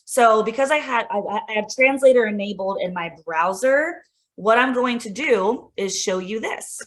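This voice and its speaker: A woman's voice: fairly high pitch, animated, moderate pace. A woman instructional coach giving a casual, enthusiastic screen-share tutorial for teachers, peppering her talk with "like" and "right?". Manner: chatty, lots of "like" and "right?". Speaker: instructional coach presenting a tech demo.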